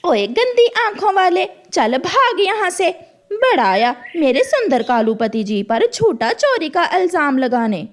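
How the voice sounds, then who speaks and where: Woman voicing a brash, high-pitched female crow. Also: sing-song, measured pace, heavily acted. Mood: angry, scornful. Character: brash, scolding female crow, thieving wife. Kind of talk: children's cartoon story acting.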